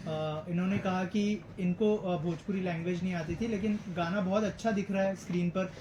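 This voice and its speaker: A woman's voice: fairly low pitch, fairly even intonation, normal speed, highs cut off. A woman interviewer asking questions at an event, mixing in English phrases. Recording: echoey noisy event room.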